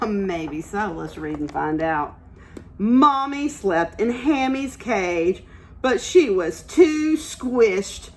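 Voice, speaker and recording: A woman's voice: mid-range voice, big pitch swings, drawn-out words. A woman teacher reading aloud to young children, explaining simply and asking encouraging questions as she goes. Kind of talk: interactive read-aloud lesson for young children.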